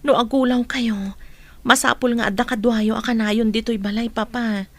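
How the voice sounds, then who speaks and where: An actress plays a grown Filipino daughter in a radio drama. Her voice is on the high side. She addresses her elders with respect and open emotion. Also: steady tone, normal speed, a bit bright, dry recording. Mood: concerned. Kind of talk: Ilocano radio drama acting.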